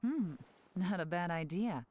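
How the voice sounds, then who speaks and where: A composed game spy, low-pitched, controlled, always one step ahead. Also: female voice. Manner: calm, measured, in control. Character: cool, secretive woman intelligence agent. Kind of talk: video game voice acting.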